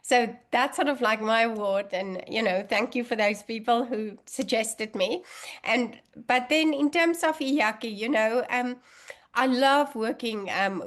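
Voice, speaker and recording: Afrikaans-speaking woman, higher voice, chatty. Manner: chatty, many fillers and restarts. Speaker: guest allergy researcher. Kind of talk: casual interview talk.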